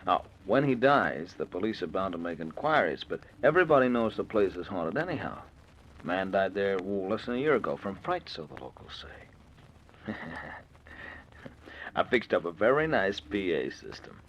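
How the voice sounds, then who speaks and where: A cold-blooded schemer with a low, very changeable pitch. He sounds smug and sure of himself in an old radio suspense play, on a muffled recording. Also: sing-song, normal speed, slight background noise. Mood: smug, calculating. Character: scheming, self-assured man plotting a murder with his lover.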